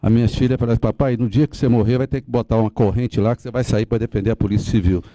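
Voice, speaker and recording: A man's voice: medium pitch, fairly even intonation, rapid-fire, mellow, no echo. A tired seventy-year-old Brazilian man making a rambling, sentimental, informal address to a meeting. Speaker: retired police officer and association advocate.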